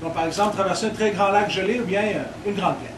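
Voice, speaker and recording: Male tour guide with a Quebec French accent, playful and teasing; fairly high voice, caught from across the room by a visitor's camera. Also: fairly even intonation, quick pace. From Quebec, Côte-Nord. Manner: playful, teases the audience. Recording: distant camera audio with loud room noise.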